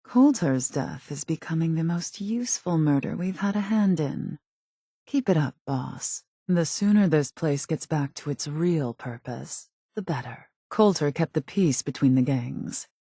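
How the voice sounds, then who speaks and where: A calculating female gang leader from a post-apocalyptic game. Her voice is low, mellow and a little husky, recorded very dry. She talks with composed, haughty precision and a dry menace. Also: lively intonation, a little slow, no echo, heavily acted. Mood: cool, scheming. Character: cool, calculating female raider gang boss. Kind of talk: video game voice acting.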